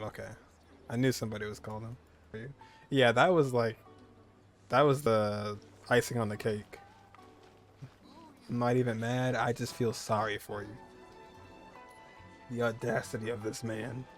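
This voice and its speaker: A man with a medium-pitched voice reacts casually to a video game as a streamer. His commentary is loose and conversational, with faint music underneath. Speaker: gaming streamer.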